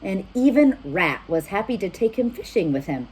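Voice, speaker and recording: A woman's voice: medium pitch, sing-song, normal speed, darkish tone, breathy edge, poor audio. A woman reading a picture book aloud as a children's storyteller, speaking directly to young listeners. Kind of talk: animated picture-book narration with asides to children.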